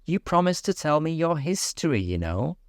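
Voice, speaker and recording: Man putting on a high, earnest little girl. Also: sing-song, normal speed, dry recording, studio quality. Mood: curious. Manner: humble, eager. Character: polite, curious, earnest young girl. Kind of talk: storybook reading.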